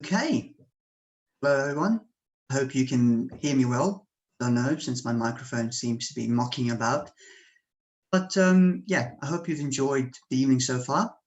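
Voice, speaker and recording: A man from Bosnia whose first language is Serbian, reading his poetry slowly and deliberately at an online open mic over a home computer microphone. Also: mid-range voice, lo-fi sound. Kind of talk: slow measured poetry reading.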